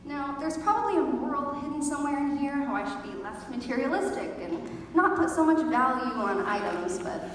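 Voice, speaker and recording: A woman's voice: high voice, lively intonation, a little fast, hardly any pauses. A teenage girl telling a personal story as a scripted stage monologue, with dramatic comic overstatement. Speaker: high school theater student performer. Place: large echoey indoor performance space. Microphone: distant camera microphone. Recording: very echoey hall, distant, faint noise.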